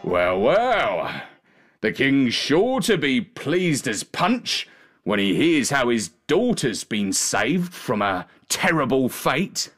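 A man's voice: medium pitch, sing-song, slow, a bit bright, soft background music, heavily acted. A man voicing a boastful, sly coachman with folksy, ungrammatical speech in a storybook tale. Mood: hearty.

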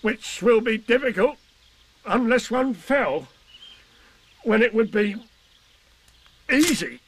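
A bouncy young male tiger for a storybook. He sits high in pitch and swings about widely, boastful and cheerfully sure of himself. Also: animated, takes it slowly, fairly bright tone, a little hiss or hum, heavily acted. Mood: strained bravado. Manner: boastful, punctuated with chuckling exclamations.

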